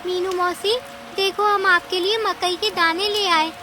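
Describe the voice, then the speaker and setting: A woman playing a small, wronged female mouse in a cartoon story, with an earnest, squeaky delivery. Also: fairly even intonation, unhurried, noisy background. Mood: sweet, eager. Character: sweet, timid, wronged young female mouse. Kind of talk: Hindi cartoon story acting.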